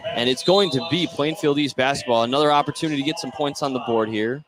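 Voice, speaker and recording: A man's voice: medium pitch, fast, poor audio. Male basketball play-by-play announcer on radio. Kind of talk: live sports radio commentary.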